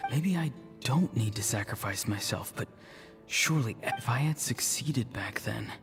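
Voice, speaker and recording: Soft-spoken young man in a game, unsure n earnest, clear music behind. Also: mid-range voice, lively intonation, a little slow. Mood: pensive. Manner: hesitant, pensive. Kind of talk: video game voice acting. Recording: voice over clear background music.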